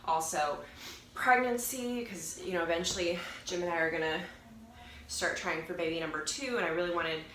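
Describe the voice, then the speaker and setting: A woman's voice: lowish voice, moderate pace, a bit bright. A young woman with a nasal, congested voice from a cold chats casually to camera as a fitness vlogger, rambling and correcting herself. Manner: chatty, rambling, self-correcting. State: getting over a cold. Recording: roomy echo, faint noise.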